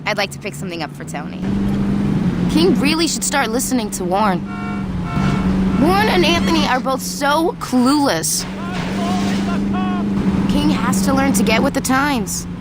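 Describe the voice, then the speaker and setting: A woman's voice: high-pitched, very animated, normal speed, pauses often, over loud music. An actress playing a spoiled, vain young woman who chats and gossips cattily in a video game cutscene. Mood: catty. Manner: chatty, catty, gossiping.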